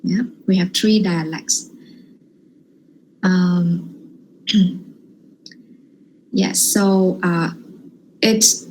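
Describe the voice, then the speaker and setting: A woman's voice: lowish voice, slight background noise, low-quality recording. A Vietnamese woman with a Vietnamese accent giving a workshop lecture over a video call, hesitant, with many "yeah" and "um" fillers. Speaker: guest lecturer.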